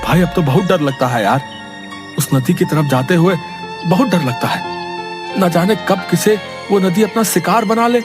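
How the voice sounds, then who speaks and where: A man voicing a gloomy, fearful village man in a cartoon story. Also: a little slow. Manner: low, mournful, fearful. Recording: background music.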